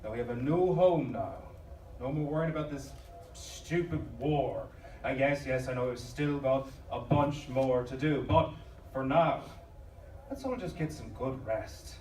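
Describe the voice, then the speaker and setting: An actor playing a kind male stowaway looking after others, gentle, coaxing and reassuring, in a live audio drama. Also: medium pitch, a little slow, a little hiss or hum, natural voice. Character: kind, reassuring man caring for fellow stowaways. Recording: echoey live stage from far off.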